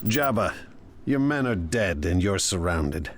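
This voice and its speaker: Low-voiced, commanding crime boss. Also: male voice, animated, a little slow, slight background noise. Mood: smug, threatening. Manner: smug, imperious, clipped commands. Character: commanding, ruthless, ambitious male crime boss. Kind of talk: cinematic video game acting.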